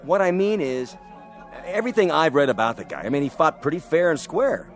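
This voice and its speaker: An actor playing a polite, earnest co-star who objects hesitantly. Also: male voice, on the high side, animated, a little fast, faint music behind, natural voice. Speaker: actor playing Jesse James.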